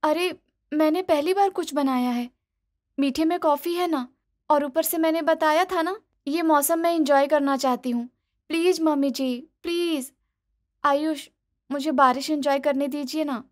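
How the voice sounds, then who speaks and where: A woman playing an eager, expressive young daughter-in-law who coaxes and pleads in a cartoon moral story. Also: high voice, measured pace. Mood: pleading, hurt. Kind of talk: animated moral story dialogue.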